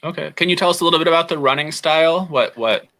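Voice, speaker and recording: A man's voice: a little high, fast talker, pushed and bright. A man working as a studio coordinator, interviewing a guest in a casual, upbeat way with many fillers.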